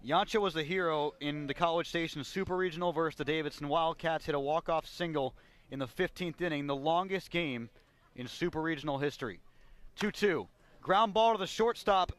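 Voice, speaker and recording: A man's voice: on the high side, brisk, a bit bright, dry recording. An American man doing live radio play-by-play commentary as a baseball announcer. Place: ballpark radio broadcast.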